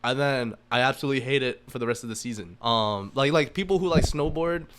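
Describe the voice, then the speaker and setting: A man's voice: medium pitch, fast, noisy background. An American man in his early twenties chatting casually as a podcast guest, informal and sweary, often checking in with the listener. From Massachusetts, United States. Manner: informal, swearing, frequent rhetorical "right?". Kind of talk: casual chat.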